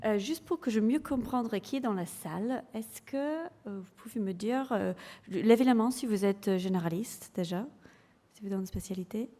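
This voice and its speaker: A woman from England with an English accent presents to a room of doctors, her mellow voice carrying a long reverb through the lecture hall. She speaks with frequent hesitations and keeps the audience involved with quick questions. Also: mid-range voice. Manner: engaging, polls the audience, hesitant fillers. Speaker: general practitioner and clinical lecturer presenting. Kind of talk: conference presentation.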